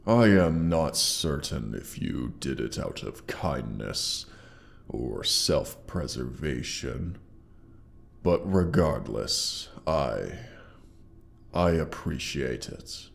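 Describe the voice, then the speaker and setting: A man voicing a proud, mighty dragon with a gravelly, pompous, condescending delivery and an effect on the voice, in a scripted roleplay audio. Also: rumbling low, animated, full character voice. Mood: grudgingly grateful. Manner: pompous, condescending, deliberate theatrical phrasing. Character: proud, mighty male dragon, haughty yet grudgingly grateful. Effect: sound effects processing on the voice.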